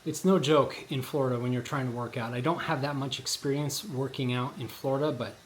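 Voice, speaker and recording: A hoarse man vlogging in a casual, rambling way, full of fillers and restarts. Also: mid-range voice, fairly even intonation, brisk. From Colorado. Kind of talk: casual vlog chat. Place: outdoors at a shopping district in light rain. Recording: noisy outdoor recording.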